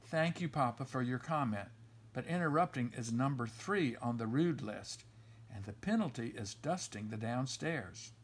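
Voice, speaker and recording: A man voicing a firm, reasonable storybook mother bear who lectures her cubs in a measured, instructive way. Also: medium pitch, animated, a little hiss or hum, natural voice.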